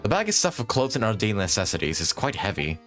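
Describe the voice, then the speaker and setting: A man's voice: on the low side, big pitch swings, quick pace, a bit bright. A man streaming a game, thinking aloud in casual commentary and reading on-screen text. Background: game music.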